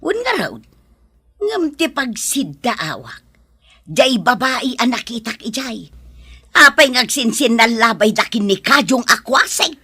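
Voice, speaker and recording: A woman's voice: on the high side, sing-song, measured pace, sharp tone, husky, full character voice. A Filipino woman playing a nosy, indignant mother, gossipy and insistent, in an audio drama. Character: nosy, indignant mother reporting what she saw. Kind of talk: Ilocano audio drama acting.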